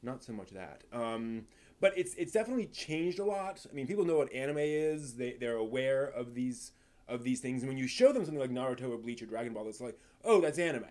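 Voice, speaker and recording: A man with an American accent hosting a casual live stream, answering viewer questions in a halting, rambling, think-aloud way. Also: mid-range voice, expressive, a little mellow. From East Coast of the United States.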